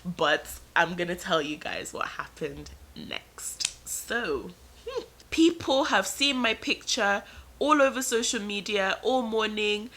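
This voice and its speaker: A young adult woman vlogger telling a personal story in an animated, dramatic way and drawing it out for suspense. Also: medium pitch, slow. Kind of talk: storytime narration.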